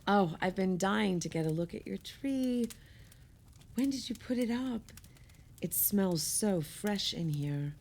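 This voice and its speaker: An actress playing an effusive, sentimental party guest in a naturalistic audio play, speaking haltingly. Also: female voice, medium pitch, lively intonation, a little mellow, slight background noise. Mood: admiring. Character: gushing, sentimental woman guest at a holiday party.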